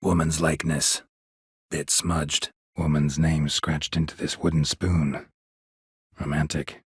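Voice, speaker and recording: A gruff, world-weary monster hunter from a fantasy video game, with a deep and extremely husky, gravelly voice. He speaks in clipped, deadpan phrases. The recording is dry and close. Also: takes it slowly, dry recording, heavily acted. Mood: terse, stoic. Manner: terse, deadpan, clipped sentences.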